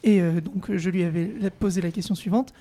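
A woman's voice: fairly low pitch, steady tone, a little fast, mellow. A woman interviewer asking a band a question in friendly, loose phrasing.

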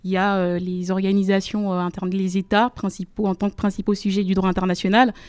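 A woman's voice: mid-range voice, little pitch movement, fast, a little mellow, clean tone, dry recording. A young woman from the Democratic Republic of the Congo, a law student guest on a radio interview, speaking formally with many hesitations. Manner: hesitant, many fillers, careful formal phrasing. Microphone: studio microphone.

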